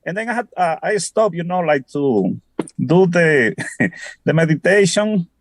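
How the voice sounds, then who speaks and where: Male caller, notably high voice, casual and hesitant, dry phone sound on a video call. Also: lively intonation, measured pace, no room sound. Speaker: participant calling in. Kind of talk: casual chat.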